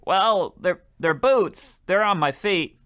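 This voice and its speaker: A man plays a hapless pauper from an old-time radio comedy. His voice is high and bright, with a sharp edge. He stammers along in an earnest, flustered, meekly hopeful way. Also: expressive, takes it slowly, clear, resonant tone, heavily acted. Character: poor, meek, cheerful, hapless man with worn-out boots.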